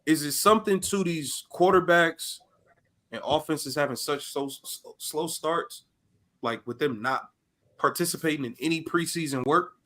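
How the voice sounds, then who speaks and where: A man's voice: on the high side, moderate pace, a bit bright. An American man co-hosting a casual sports chat, putting questions to the others in loose, slangy speech. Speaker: podcast co-host.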